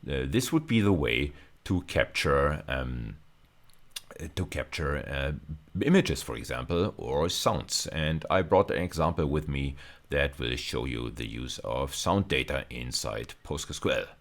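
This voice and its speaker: A man lecturing at university level in an extremely low, deep voice. He explains his material step by step in a measured way, often restating a phrase before moving on. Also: expressive.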